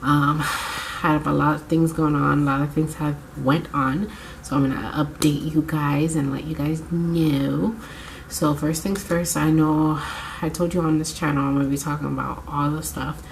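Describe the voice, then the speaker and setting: Young woman, low voice, congested from a cold, chatty, rambling, vlog to camera, faint background noise. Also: level tone, normal speed, creaky, poor audio. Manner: chatty, rambling, self-correcting. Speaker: vlogger. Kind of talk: casual chat to camera. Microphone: camera mic.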